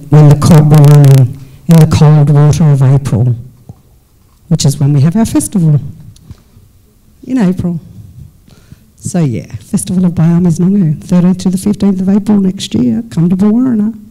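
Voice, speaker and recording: An Australian speaker hosting a live panel discussion in a relaxed, joking manner. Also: medium pitch, low-quality recording. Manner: relaxed, joking, drawn-out phrasing. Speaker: panel host.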